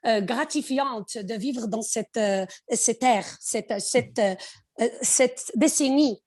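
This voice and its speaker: A woman from Morocco with a Moroccan accent, a podcast guest talking conversationally and haltingly, searching for words and slipping in English. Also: on the high side, darkish tone. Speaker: podcast guest, finance executive. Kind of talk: conversational interview.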